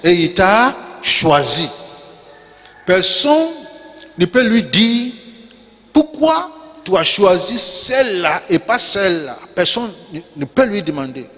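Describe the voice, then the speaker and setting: A high-voiced man preaches slowly and deliberately, drawing out words and leaning on repetition. He calls on the congregation to repeat after him and builds to loud emphatic peaks. French is not his first language, and his voice rings through the long reverb of a church hall. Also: sing-song. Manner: call-and-response preaching, repeats key words, rises to shouts. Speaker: pastor. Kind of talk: sermon.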